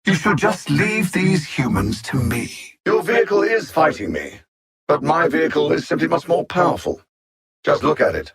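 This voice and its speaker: An actor voicing a haughty alien machine intellect, a conquering video game villain who speaks with precise, condescending menace. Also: male voice, on the high side, fairly bright tone, husky, full character voice. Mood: aloof, calculating.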